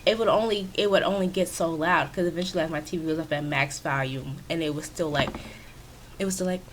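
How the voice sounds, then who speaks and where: A woman with a raspy, stuffy voice, chatting casually and rambling with many fillers, recorded on a sensitive microphone in her home office. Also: deep voice, fast, noisy background. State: stuffy from sinus trouble. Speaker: video creator. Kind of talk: casual commentary over a speed-build. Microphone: new sensitive desk microphone.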